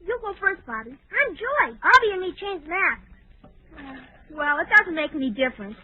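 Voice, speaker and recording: An actor playing an excitable, eager young child out trick-or-treating in a 1940s radio comedy, boasting in kid slang. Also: very high-pitched, moderate pace. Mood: chatty, eager. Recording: tinny narrow-band old radio recording.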